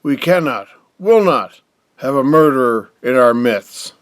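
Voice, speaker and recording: An actor playing a stern, middle-aged wealthy patriarch in an audio drama, formal, pompous and scolding. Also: male voice, medium pitch, animated, unhurried, dry recording, lightly acted. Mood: cold, firm. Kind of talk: theatrical audio drama acting.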